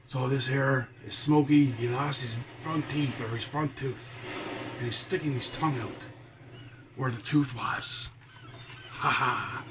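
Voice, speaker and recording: A man's voice: mid-range voice, steady tone, measured pace, natural voice. A man voicing a salty pirate who reads a children's storybook, chatting to his mateys with pirate talk and chuckles. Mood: playful, chatty. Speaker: storybook reader. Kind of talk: children's read-aloud with chatty asides. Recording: noisy, with background ambience.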